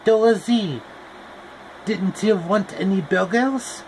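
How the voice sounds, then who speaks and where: A man voicing a showy male magician who drops French phrases into slow, theatrical talk. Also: sits high, a little mellow, a little hiss or hum, pitched above the performer's own voice, low-quality recording. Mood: grandiose.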